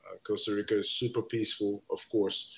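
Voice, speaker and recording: A man's voice: lowish voice, little pitch movement, brisk, vocal fry, slightly rough. A man in his thirties from Nigeria, chatting as a podcast guest, laughing often and filling gaps with "uh". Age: 30s. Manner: laughs often, many fillers. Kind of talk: casual interview chat.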